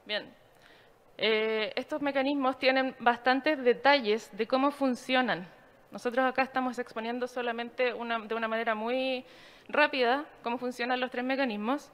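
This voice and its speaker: A woman giving a formal, explanatory slide presentation in a measured way. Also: on the high side, steady tone, fairly bright tone, smooth.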